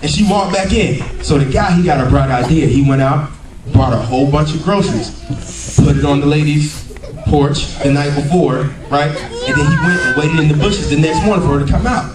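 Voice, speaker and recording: An American man in his thirties performing animated, colloquial stand-up storytelling for a church audience. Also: on the high side, a little fast, loud music behind the voice. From United States. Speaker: stand-up comedian. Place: indoors in a church sanctuary. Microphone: handheld mic through speakers, picked up by far camera. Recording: echoey church hall, distant camera, loud audience. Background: loud laughing audience.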